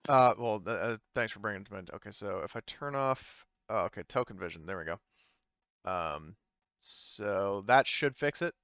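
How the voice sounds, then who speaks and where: A man working as game master, narrating a tabletop game casually and haltingly as he thinks aloud. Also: on the low side, expressive, fairly bright tone, smooth, dry recording, highs cut off.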